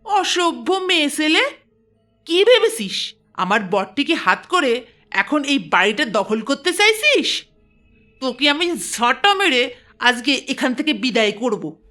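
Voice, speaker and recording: A woman's voice: high voice, big pitch swings, takes it slowly, sharp tone, faint music behind, full character voice. A woman voicing a jealous first wife who scolds and hurls insults. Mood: furious.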